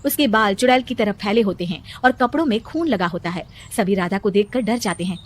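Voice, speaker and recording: A woman's voice: mid-range voice, a little fast. A woman narrator reading a scripted moral story.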